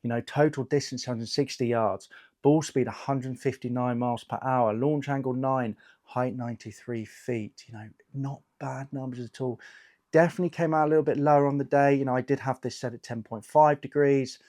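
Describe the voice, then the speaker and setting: A man with a croaky voice from a cold, hosting a casual golf equipment review, chatty and reading out figures with lots of fillers. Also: mid-range voice, fairly even intonation, normal speed, darkish tone. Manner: chatty, lots of "you know" and "um", reads out numbers. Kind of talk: casual product review talk.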